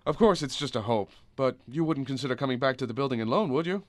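A man's voice: medium pitch, animated, quick pace, dry recording, lightly acted. An actor playing a kindly, weary, principled small-town father in a radio drama. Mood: gentle. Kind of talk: old-time radio drama acting.

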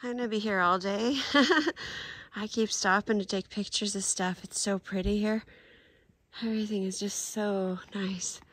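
A woman in her forties on a snowy forest trail outdoors, filming a casual walking vlog on a phone at arm's length and talking in a soft, awed voice that often drops to a whisper. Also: mid-range voice, a little slow. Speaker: solo winter camper and vlogger.

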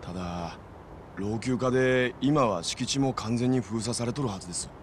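An actor playing an earnest, deferential young yakuza underling in a game cutscene, explaining things with a Hiroshima accent. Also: male voice, medium pitch, moderate pace, slight background noise, lightly acted. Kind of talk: video game cutscene acting.

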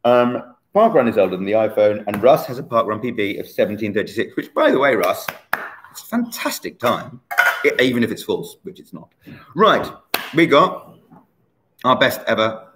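Man in his forties, fairly low voice, teasing quiz host, laptop webcam at home. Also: big pitch swings, moderate pace, background noise. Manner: chatty, teasing, self-mocking. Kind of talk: casual quiz hosting. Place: bright room at home.

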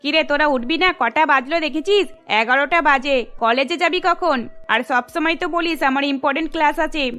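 A woman's voice: sits high, normal speed, hardly any pauses, pushed and bright, faint music behind. A woman voicing a nagging middle-aged mother in a dubbed cartoon, scolding her daughters in an exasperated way. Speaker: voice actor. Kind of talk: cartoon dubbing.

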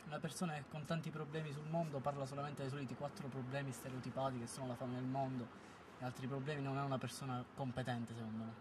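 A young man speaks bluntly into a reporter's handheld mic on a city street. His voice sits in the middle of the range, and clear street noise runs behind him. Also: medium pitch, little pitch movement, quick pace. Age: young adult. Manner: blunt, opinionated. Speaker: passer-by. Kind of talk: street vox pop.

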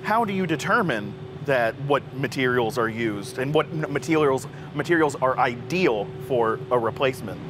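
A man's voice: mid-range voice, lively intonation, moderate pace, noisy background. A man hosting a factory tour, asking curious questions and giving short acknowledgments. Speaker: host. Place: large industrial lab indoors.

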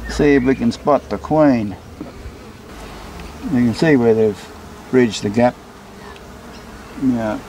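A man's voice: medium pitch, dark tone, low-quality recording. A man, a beekeeper, casually explaining a hive inspection as he films outdoors. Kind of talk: casual explanatory vlog narration. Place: outdoors beside a beehive and brick house. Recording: clear buzzing insect noise behind. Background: buzzing bees.

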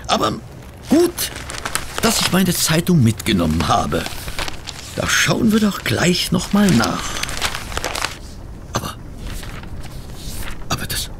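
An actor playing a fussy, incredulous gentleman sidekick in a radio play, sputtering and exclaiming indignant questions. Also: on the high side, wildly swooping pitch, takes it slowly, long pauses, background noise, exaggerated voice. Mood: puzzled. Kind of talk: German radio play acting.

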